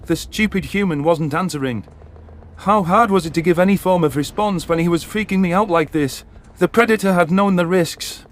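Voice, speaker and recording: High-voiced man narrating dramatic science fiction. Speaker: audiobook narrator. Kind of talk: dramatic first-person sci-fi story narration. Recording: narration over background music.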